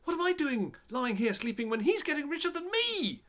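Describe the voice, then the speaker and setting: A man's voice: extremely high pitch, sing-song, a little fast, pushed and bright, pitched above the performer's own voice, exaggerated voice. A man voicing a greedy, restless robber in a fairy tale, eager and scheming. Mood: indignant, eager.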